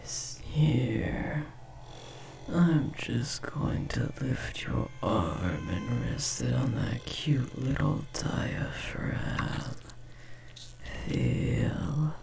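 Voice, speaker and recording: A man voicing a possessive insectoid parasite, a doting yet menacing lover, in a breathy, gravelly whisper with slow, theatrical crooning for an audio horror roleplay. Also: mid-range voice, sing-song, exaggerated voice. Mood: crooning, smug.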